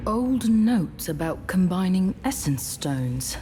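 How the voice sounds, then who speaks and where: An actress voicing a thoughtful female adventurer in a fantasy video game, musing in a measured way. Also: lowish voice, big pitch swings, background noise. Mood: musing, curious.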